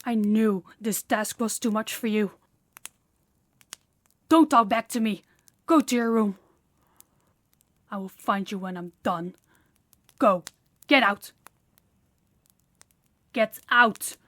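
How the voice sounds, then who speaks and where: A woman playing a dominant, stern mafia boss in an audio roleplay, speaking formally and deliberately with authority. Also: medium pitch, steady tone, a little mellow, no room sound, natural voice. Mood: furious.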